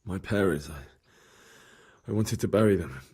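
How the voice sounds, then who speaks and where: A man voicing a young male villager who is weak with fever, speaking in halting, broken phrases. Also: sits low, expressive, darkish tone, breathy edge, heavily acted. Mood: grieving. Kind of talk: video game cutscene acting.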